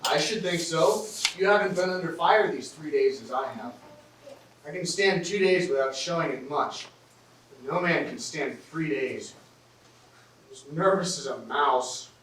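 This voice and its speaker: A man's voice: on the high side, measured pace, fairly bright tone. A man on stage playing a weary, practical Swiss soldier in a classic comedy, wry, polite and self-deprecating. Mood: nervous, weary. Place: theatre stage, recorded from the audience. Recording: roomy, distant stage recording with hiss.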